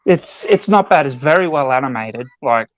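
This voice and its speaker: A man's voice: medium pitch, animated, normal speed, no room sound, lo-fi sound. A man chatting casually as a panelist on a podcast. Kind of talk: casual chat.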